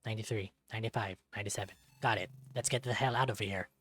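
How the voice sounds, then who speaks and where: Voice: medium pitch, fairly even intonation, quick pace, a bit bright, natural voice. A narrator as a curt, grumbling thief. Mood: irritated, tense. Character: irritable, sarcastic male thief on a covert job.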